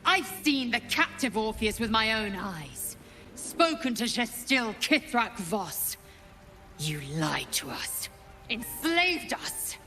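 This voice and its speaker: Defiant warrior woman, fierce, measured, swinging pitch, formal, fantasy game, music behind. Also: very animated, pushed and bright, full character voice. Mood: accusing. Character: proud, defiant young githyanki warrior woman. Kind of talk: cinematic fantasy game acting.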